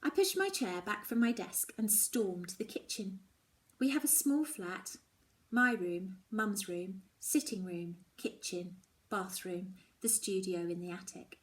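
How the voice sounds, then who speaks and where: Female narrator, children's book reading, expressive, dramatic, hushed dips, mid-range pitch. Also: a little slow. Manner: dramatic, drops toward hushed tones. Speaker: story reader.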